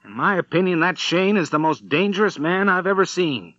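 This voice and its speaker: An awestruck homesteader in a western audiobook, played by a male narrator, speaks in a raised, forceful pitch. He sounds dazed yet emphatic, on a slightly dull recording. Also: a little fast, no echo. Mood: awed, shaken. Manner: excited, emphatic. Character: dazed, awestruck homesteader man telling what he saw.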